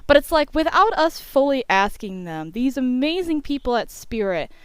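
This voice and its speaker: Clear-voiced young woman chatting casually, dry sound. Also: high-pitched, big pitch swings, moderate pace, no echo. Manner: chatty, informal, peppered with "like" and "you know". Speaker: YouTube storyteller. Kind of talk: casual rambling story time voice-over.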